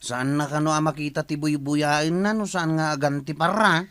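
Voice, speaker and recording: A woman's voice: low voice, steady tone, normal speed, natural voice. A woman playing a cheeky, nagging young relative in a comic radio drama. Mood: teasing.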